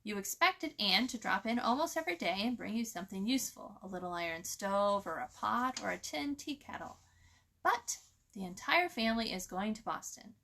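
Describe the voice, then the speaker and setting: A woman's voice: medium pitch, lively intonation, moderate pace, vocal fry, sharp tone, full character voice. A woman voicing a smug, gossipy male country crow with a folksy, teasing manner, in a storybook reading. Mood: smug gossiping. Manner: folksy, teasing, smirking persuasion.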